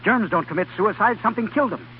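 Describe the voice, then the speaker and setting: An actor playing an obsessed, driven young scientist in an old-time radio drama, with a distracted, halting, intense manner. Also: male voice, high-pitched, natural voice. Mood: excited. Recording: dull, noisy vintage radio recording.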